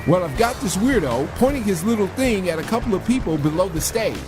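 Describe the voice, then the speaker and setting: An actor playing a weary, fretful veteran police officer in a comedy, with loud music behind him. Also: male voice, high-pitched, sing-song, brisk. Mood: puzzled, uneasy. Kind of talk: comedic police radio banter.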